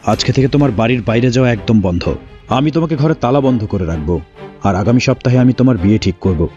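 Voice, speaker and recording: Man voicing a fast, stern, scolding father. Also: mid-range voice, animated, warm tone, muffled recording, faint music behind, close to an everyday voice. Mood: angry, scolding. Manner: scolding, strict. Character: stern, angry middle-aged father of village girl. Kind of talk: Bengali fairy-tale cartoon dubbing.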